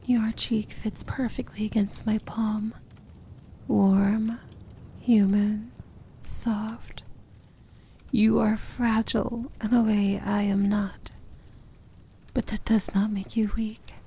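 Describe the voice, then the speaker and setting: A woman playing a feared, possessive and tender villain princess in an intimate ASMR fantasy romance roleplay, speaking deliberately in a breathy voice that often sinks into a whisper. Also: medium pitch, level tone, warm tone, slight background noise. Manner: slow, deliberate, commanding yet tender.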